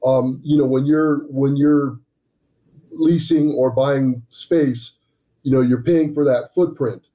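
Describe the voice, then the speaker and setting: A man's voice: mid-range voice, steady tone, takes it slowly, warm tone. A man in his sixties talking conversationally as a guest in a business interview over a video call. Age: 60s. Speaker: business development director, podcast guest. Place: indoor office room, on a video call. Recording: dull, lo-fi video call audio.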